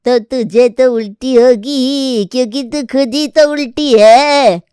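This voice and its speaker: A woman voicing a cranky old grandmother and sarcastic mother-in-law who scolds and complains in an animated family story. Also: high voice, slow, clear, resonant tone, no echo, studio quality. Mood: scolding.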